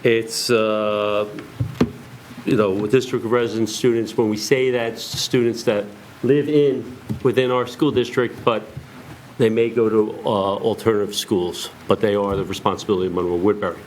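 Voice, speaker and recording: A hoarse man giving a halting, formal presentation to a school board, full of fillers. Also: fairly low pitch, fairly even intonation, a little hiss or hum, rough recording. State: hoarse, lost voice.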